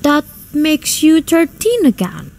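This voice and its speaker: A woman's voice: high voice, sing-song, slow, a little mellow, heavily acted. A woman playing the timid young daughter of a society hostess in a radio drama, speaking meekly and carefully. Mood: meek, pleading.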